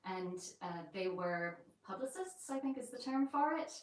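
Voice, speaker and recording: A woman's voice: mid-range voice, animated, moderate pace. Chatty woman in an echoey old house. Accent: American mixed with light Yorkshire. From England and the United States. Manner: chatty and rambling, with many fillers. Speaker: vlogger hosting a live stream. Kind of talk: casual live-stream chat. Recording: very echoey room, mic at a distance.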